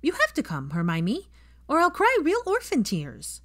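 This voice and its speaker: A woman voicing an excitable, cheeky little boy in a storybook reading, earnest and eager. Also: sits high, moderate pace, voice pushed up. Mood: earnest pleading. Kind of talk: fan fiction audiobook character voice.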